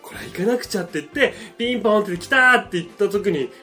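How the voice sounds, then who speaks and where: Fast talking guy doing jokey radio advice, mock expert tone, voice goes up and down a lot, acts out quotes. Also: high voice, lively intonation, pushed and bright, faint music behind. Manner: mock-authoritative explaining, acting out imagined quotes. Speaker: radio show advice-giver. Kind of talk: comedic radio advice banter.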